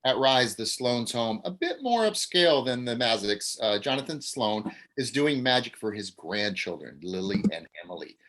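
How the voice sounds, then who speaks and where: Man reading stage directions with animated pitch. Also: moderate pace, few pauses. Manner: plain, with frequent uhs. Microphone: video call mic.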